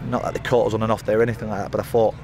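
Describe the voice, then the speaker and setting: A man in his thirties, a football manager giving a post-match interview outdoors by the pitch at night, talking in rambling runs full of fillers and restarts. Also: little pitch movement, rapid-fire. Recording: outdoor interview with loud background noise.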